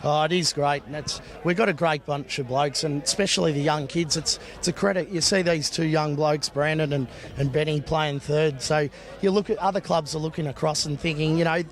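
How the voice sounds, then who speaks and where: A man's voice: on the high side, fairly even intonation, a little fast. An Australian man, a bowls skipper, talking casually and upbeat in an outdoor interview. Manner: upbeat, casual, says "look" and "mate". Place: outdoor bowling green.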